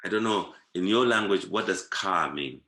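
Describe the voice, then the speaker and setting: A man's voice: sits low, lively intonation, normal speed, bright, forceful tone, echoey room. A man from Zimbabwe, a guest talking in a reflective conversation, thoughtful and repeating words to savor them. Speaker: guest, performing artist.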